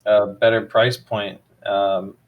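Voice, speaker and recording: Man, low voice, laid-back host, lots of ums. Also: level tone, slow, narrow, tinny audio, a little hiss or hum. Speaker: host of crypto investor AMA. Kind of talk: casual online chat.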